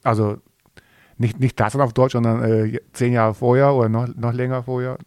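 An older man co-hosting a podcast in casual chat, a record collector who answers calmly and matter-of-factly. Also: medium pitch, moderate pace, clear, resonant tone, no echo, studio quality.

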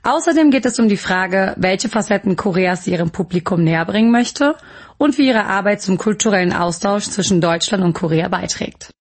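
Young german woman hosting a radio interview, kinda husky, clear friendly presenter voice. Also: mid-range voice, lively intonation, a little fast.

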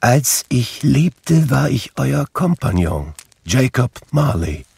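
A man's voice: medium pitch, big pitch swings, slow, vocal fry, a little mellow, slightly rough, no room sound, voice pushed up, exaggerated voice. A man reading aloud, voicing the ghost of a dead old man weighed down by chains, in a grave, intoning way. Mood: solemn.

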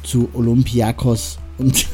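A man presenting a radio show, chatting loosely and poking fun at himself. His voice is very dark and mellow, at a middle pitch. Faint music plays behind him. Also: level tone, slow. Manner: chatty, self-deprecating, rambling asides. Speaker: radio host. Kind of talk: casual radio moderation.

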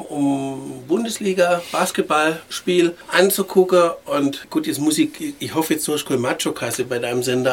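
A man, a musician chatting casually and telling anecdotes in an interview. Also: on the high side. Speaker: musician and lyricist being interviewed.

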